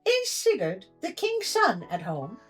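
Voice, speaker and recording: Woman voicing a slow-spoken, formal storybook giantess. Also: on the high side, wildly swooping pitch, husky, soft background music, heavily acted. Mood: formal, probing. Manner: formal archaic greetings and questions. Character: terrible giant sisters of a queen, looming and greedy.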